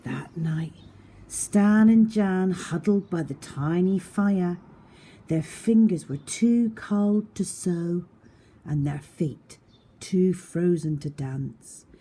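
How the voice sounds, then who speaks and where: A woman's voice: lowish voice, takes it slowly, dark tone, slightly rough. A woman reading a children's story aloud, addressing young listeners simply and directly like a primary teacher.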